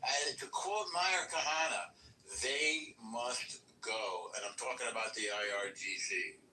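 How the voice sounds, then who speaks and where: A man's voice: mid-range voice, slow pace, a little hiss or hum. Emphatic man talking far from the mic in an echoey room. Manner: animated, emphatic, laughs often. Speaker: podcast host. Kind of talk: casual political chat.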